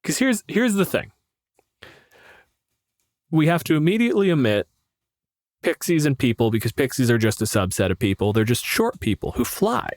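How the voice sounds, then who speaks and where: A man's voice: medium pitch, very animated, moderate pace, pauses often, dry recording, studio quality. A man co-hosting a podcast with casual banter, blunt, argumentative and prone to swearing. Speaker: podcast co-host. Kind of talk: casual banter, answering listener questions.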